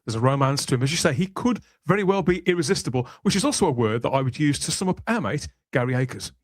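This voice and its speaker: A man's voice: mid-range voice, animated, quick pace, no room sound, studio quality. A man hosting a casual panel podcast, chatting in wordy, rambling phrases as he presents and introduces guests. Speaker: podcast host.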